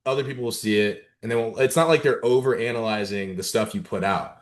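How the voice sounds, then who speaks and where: A man's voice: fairly low pitch, fast talker. A young man from Dallas, a content creator guesting on a podcast, talking casually with lots of slang and "like.". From Dallas, USA. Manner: relaxed, slangy, lots of "like" and "right?".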